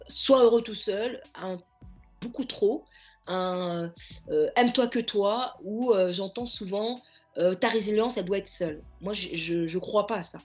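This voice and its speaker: A woman's voice: medium pitch, a little mellow. A woman therapist and coach, a podcast guest, speaking earnestly in reflective interview talk and often circling back to find her words. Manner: earnest, searching for words, stacking restarts.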